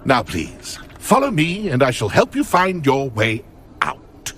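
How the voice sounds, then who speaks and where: An actor playing a pompous, mocking British ghost lord in a video game, theatrical and taunting. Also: male voice, mid-range voice, wildly swooping pitch, measured pace, fairly bright tone, slight background noise, heavily acted. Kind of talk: video game dialogue acting.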